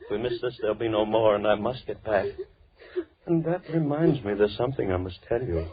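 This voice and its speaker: A man's voice: on the low side, fairly quick, a little hiss or hum, lightly acted. Casting: male actor as sinister, unhinged horror villain, theatrical menace, 1930s radio drama, dull vintage sound. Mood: agitated. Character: sinister, deranged, faceless male villain gloating over his captive bride. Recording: muffled old 1930s radio recording.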